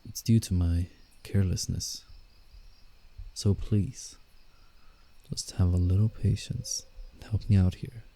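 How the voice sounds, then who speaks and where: Man voicing a very dark, deep, guarded werewolf. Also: fairly even intonation, takes it slowly, long pauses, heavily acted. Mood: earnest, guarded. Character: guarded, teasing male werewolf, secretly soft-hearted forest guardian. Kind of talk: roleplay ASMR, scripted character acting with inner monologue.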